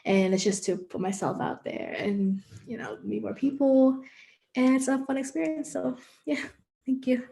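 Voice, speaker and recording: A woman's voice: high voice, level tone, normal speed, vocal fry, dark tone. A young woman from the Philippines giving a slightly hesitant self-introduction as a pageant contestant. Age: young adult.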